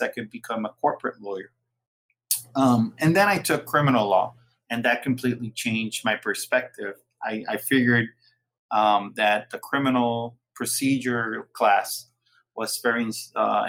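A man in his forties from Puerto Rico, a lawyer and interview guest, recounting his career in a relaxed storytelling way. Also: on the low side, rough recording. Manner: relaxed storytelling, occasional laughs. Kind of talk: casual interview.

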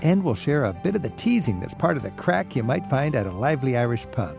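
A man hosting a travel radio show, curious and lively as he introduces and interviews guests. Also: mid-range voice, fast talker, gravelly, over music. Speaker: radio host.

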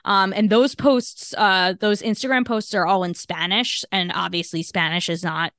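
A woman co-hosting a podcast works through the news in a halting, careful way, filling the pauses with fillers and restarting her sentences often. Her voice sits at an ordinary middle pitch. The recording is dry and close, with no room sound. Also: steady tone, fairly bright tone, no room sound. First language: English. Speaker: podcast co-host. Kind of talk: casual podcast discussion.

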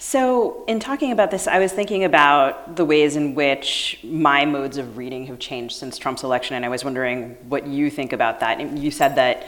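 Low-voiced woman co-host in a hall. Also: moderate pace, few pauses. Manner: reads a formal guest introduction, then asks careful questions. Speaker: podcast co-host. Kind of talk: live podcast interview. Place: stage at a live book festival event. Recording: hall reverb at a live event.

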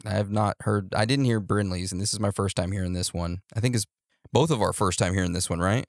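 A man's voice: on the low side, brisk, dry recording, studio quality. A young man hosting a casual podcast, rambling and laughing easily as he answers listener messages. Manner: warm, rambling, laughs often. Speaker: podcast host.

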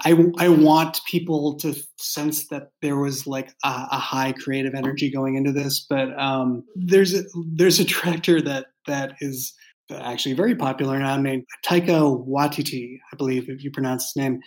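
A man talks casually about creative work as a writer would. His delivery is thoughtful and halting, circling back on phrases as he explains, at an ordinary middle pitch. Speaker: creator and writer of an audio drama, as guest. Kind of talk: casual behind-the-scenes chat.

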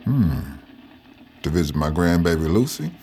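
A man's voice: low voice, very animated, moderate pace, a little mellow. An actor playing an old formerly enslaved man, plainspoken and folksy, recounting his life in an audio drama. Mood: candid, reflective. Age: older. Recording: clear background noise and ambience.

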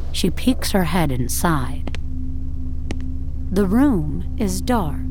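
A woman narrating a dramatic audio story in a measured, deliberate, literary way. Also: fairly low pitch. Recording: studio narration over faint music.

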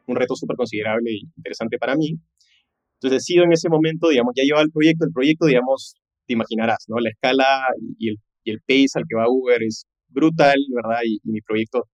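A man's voice: mid-range voice, no echo. A man, telling his career story conversationally as a podcast guest, dropping in English business jargon and frequent tag questions. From Peru. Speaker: podcast guest, tech entrepreneur.

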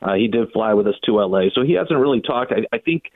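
A man's voice: fairly low pitch, lively intonation, fast, dry recording. An American man talking as a guest on sports radio, recounting things conversationally and in long-winded detail. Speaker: sports broadcaster interviewed as guest. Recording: phone-like narrow band, dry.

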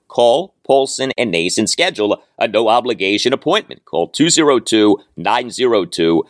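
A man hosting a sports podcast in an emphatic, deliberate monologue, often repeating phrases for weight. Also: on the low side, no room sound, studio quality. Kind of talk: sports commentary monologue with sponsor read.

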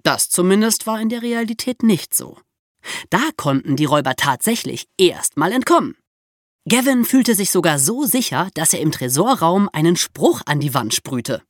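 A woman co-hosting a podcast, explaining true crime in a lively storytelling way with rhetorical questions. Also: fairly low pitch, moderate pace, dry recording, studio quality. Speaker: podcast co-host.

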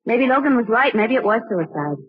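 An American woman acting a perky, quick, inquisitive young sidekick in a 1940s radio crime drama. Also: a little high, big pitch swings. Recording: restored old radio, muffled and dry.

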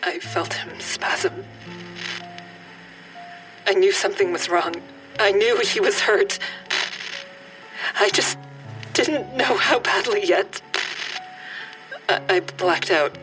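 Audio drama role: grieving mother and trauma survivor, halting testimony, somewhat distant mic, clear music bed. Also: mid-range voice, lively intonation. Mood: anguished, halting. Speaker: actor in a fiction podcast.

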